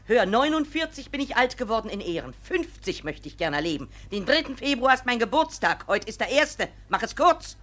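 A proud middle-aged mother in a classic radio drama. Her fairly high voice is piercing and forceful. She scolds and orders people about. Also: big pitch swings, fairly quick, few pauses. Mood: scolding, impatient. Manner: domineering, scolding. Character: scolding, proud 49-year-old village mother. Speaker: actor in a radio play. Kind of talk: theatrical radio play acting.

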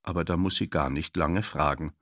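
A man narrating a children's audiobook in a lively storytelling style. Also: a bass voice, moderate pace, no room sound, studio quality.

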